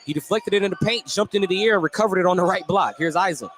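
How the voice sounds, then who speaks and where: A man's voice: high voice, quick pace, fairly bright tone. A man doing live basketball play-by-play on the radio, calling the action in short, clipped phrases. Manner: clipped running call of the action. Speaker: play-by-play commentator. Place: indoor gym during a game. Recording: noisy live sports venue broadcast.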